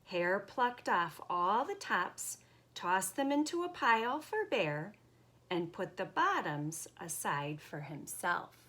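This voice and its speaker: A woman reading a children's picture book aloud in an expressive, deliberate, emphatic way. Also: medium pitch.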